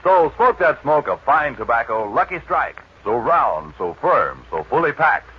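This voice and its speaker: A man reading a 1940s radio advertisement in a husky voice with emphatic, slogan-like phrasing. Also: medium pitch, very animated, measured pace. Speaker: commercial announcer. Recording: dull 1940s radio recording.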